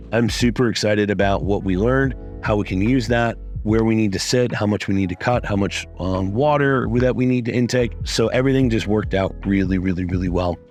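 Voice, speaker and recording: A man coaching lifters, talking through results in a casual, upbeat recap with a raspy voice and plenty of swearing. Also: low-pitched, a little mellow. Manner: upbeat, swears freely, many fillers. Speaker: strength coach.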